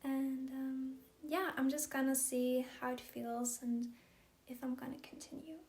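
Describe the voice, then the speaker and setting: A young woman, talking gently and hesitantly in a personal vlog, with many pauses. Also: sits high, little pitch movement, reverberant. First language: German. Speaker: vlogger. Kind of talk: soft-spoken personal vlog.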